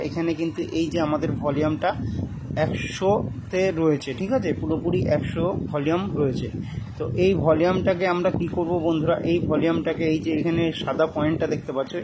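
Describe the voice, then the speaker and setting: Man, slightly high pitch, very quick pace, step-by-step tutorial delivery, repetitive instructions. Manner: repetitive instructional talk addressing viewers as friends. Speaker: tutorial host. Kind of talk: spoken step-by-step phone app tutorial. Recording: loud background noise.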